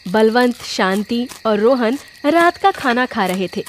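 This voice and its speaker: A woman's voice: fairly high pitch, lively intonation, slow pace, a little hiss or hum. A woman reading scripted narration for a cartoon story. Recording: music under the voice.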